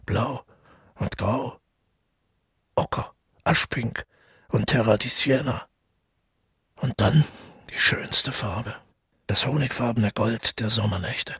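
An actor playing a brooding, haunted man who tells his past in a halting, intimate audio drama monologue with a husky, gravelly voice. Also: lowish voice, fairly even intonation, a bit bright, no room sound, studio quality, exaggerated voice. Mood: tender, wistful. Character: brooding, haunted male first-person narrator recalling the past.

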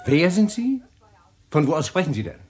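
A rattled party host. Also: male voice, on the high side, sing-song, moderate pace, a little mellow. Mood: irritated. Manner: flustered, indignant on the phone. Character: James Brent, rattled man hosting a party. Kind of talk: radio drama acting.

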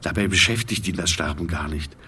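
A man reading scripted literary narration for a radio drama in a measured, sober manner. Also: low-pitched, bright, forceful tone, breathy edge, distant mic.

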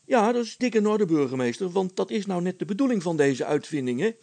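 A man's voice: sits high, very animated, a little fast, gravelly, a little mellow, dry recording, voice pushed up, full character voice. A man voicing a cheerful, confident village smith and inventor in a storybook, breezy, reassuring and matter-of-fact.

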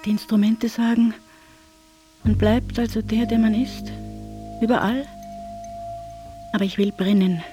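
A woman's voice: on the high side, steady tone, a little slow, long pauses, close to an everyday voice. An actress playing a lonely, melancholy young woman, brooding plaintively in a radio play monologue. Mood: melancholy, yearning. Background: instrumental music.

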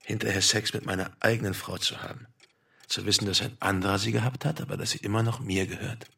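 An actor playing a brash, possessive husband in a radio drama, speaking bluntly and provocatively from slightly off the microphone. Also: male voice, on the low side, little pitch movement, fast talker, creaky, fairly bright tone, husky, recorded from a distance, heavily acted. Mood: smug, frank.

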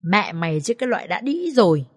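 A crude, bullying middle-aged mother-in-law with a shrill, raised voice, as a woman storyteller puts her on. She spits insults and threats at her daughter-in-law in a spoken audio story. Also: big pitch swings, quick pace, a little mellow. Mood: contemptuous.

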